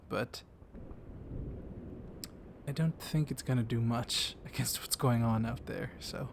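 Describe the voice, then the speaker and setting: A man playing a gently dominant, comforting vampire librarian in an intimate ASMR roleplay, speaking softly and haltingly, often slipping into a whisper. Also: medium pitch. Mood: soothing, reassuring. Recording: clear storm noise behind the voice. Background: thunderstorm ambience.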